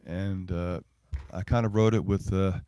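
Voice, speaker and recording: A man's voice: low voice, steady tone, measured pace, mellow, clear, resonant tone, no echo. A man who is a singer-songwriter talks casually between songs at a livestreamed gig, rambling and self-effacing.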